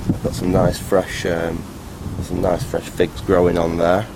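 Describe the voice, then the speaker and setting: A man with a low-pitched voice talks off the cuff, as if showing someone around. His delivery is halting and rambling but matter-of-fact. There is clear noise behind him on a casual handheld recording. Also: completely flat delivery. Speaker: vlogger, hobbyist restorer. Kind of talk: casual unscripted video tour.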